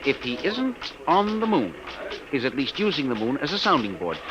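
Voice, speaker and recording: A man's voice: medium pitch, wildly swooping pitch, normal speed. A man playing a meek, earnest, fussy office clerk who stammers eagerly and deferentially, in the manner of 1950s radio drama. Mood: eager, secretive. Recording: noisy, muffled old radio recording.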